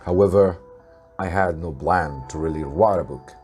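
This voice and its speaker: A man, an Arabic speaker, reading a story to students as a teacher, with deliberate theatrical emphasis. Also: sits low, a little mellow, soft background music. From Egypt. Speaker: English teacher.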